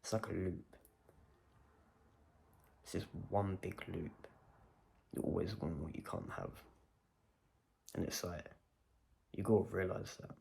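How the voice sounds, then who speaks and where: A man's voice: low voice, slow pace, gravelly, pauses often, darkish tone, a little hiss or hum. A man vlogging a personal video diary, talking in a reflective, rambling way and questioning himself as he goes.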